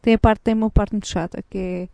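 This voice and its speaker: A woman's voice: mid-range voice, fairly even intonation, quick pace, warm tone. A woman hosting a casual, playful chat outdoors by a windmill, teasing her guest as she asks about her life. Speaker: host.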